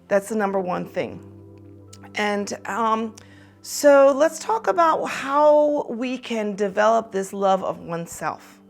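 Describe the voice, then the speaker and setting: A woman raised in Taiwan, an optometrist, giving an earnest prepared talk with frequent pauses and restarts. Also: medium pitch. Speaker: optometrist speaking on women's empowerment.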